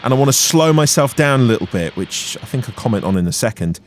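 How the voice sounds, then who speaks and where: A man talks through his flying in a chatty, explanatory way, with an ordinary mid-range pitch. Engine noise from a light aircraft runs behind his voice. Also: animated, fast. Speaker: pilot and vlogger. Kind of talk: casual flight commentary and radio calls. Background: aircraft engine hum.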